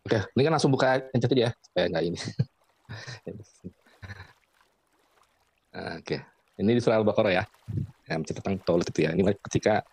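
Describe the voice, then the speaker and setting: Low-voiced man, hesitant and quick. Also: darkish tone, no room sound. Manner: hesitant, many fillers. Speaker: debater. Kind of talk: online debate.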